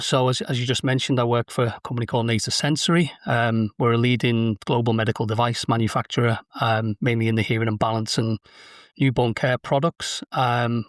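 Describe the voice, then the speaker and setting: A man who is a sales manager speaking as a guest on a podcast, in a measured, plain-spoken way. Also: medium pitch, dry recording, studio quality. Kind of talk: podcast interview.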